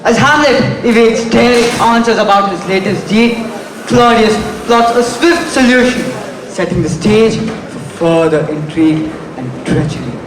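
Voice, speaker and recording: Male narrator, scripted play summary, high voice, distant mic, noisy echoing hall. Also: unhurried.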